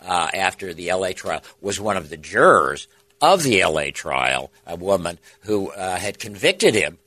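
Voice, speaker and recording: A middle-aged man with a big booming voice, a guest telling stories in a casual chat, animated and halting with many restarts. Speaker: podcast guest, veteran TV reporter.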